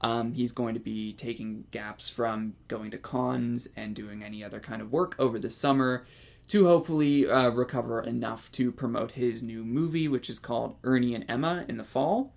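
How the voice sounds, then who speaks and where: A Canadian man with a gravelly, fry-edged voice shares horror news on a podcast. His talk is loose and conversational, with plenty of filler words as he thinks aloud. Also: medium pitch, moderate pace. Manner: relaxed, chatty, heavy on "like" and "um". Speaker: podcast co-host. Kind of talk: casual horror-news chat.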